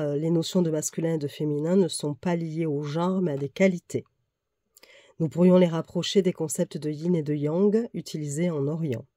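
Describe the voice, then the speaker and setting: A woman's voice: low voice, dark tone, breathy edge. A woman with a head cold, speaking slightly through her nose, chatting casually as she reviews a tarot deck and reads passages aloud, with fillers and self-corrections. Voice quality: slightly nasal. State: congested, has a cold.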